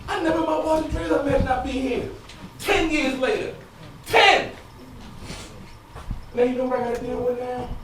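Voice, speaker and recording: An actor playing an anguished, frustrated husband in an emotional theatrical stage monologue that moves between quiet confiding and loud outbursts. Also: male voice, very high voice, normal speed, pauses often. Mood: wistful, regretful, then bitter, sarcastic. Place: stage in a large indoor room. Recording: echoey stage, distant mic, noisy.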